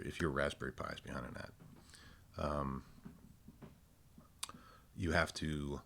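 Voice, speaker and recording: A man giving a casual, unscripted technical walkthrough, thinking aloud in an informal, halting way. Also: very deep voice, vocal fry, fairly bright tone, a little hiss or hum. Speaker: presenter of a technical tutorial.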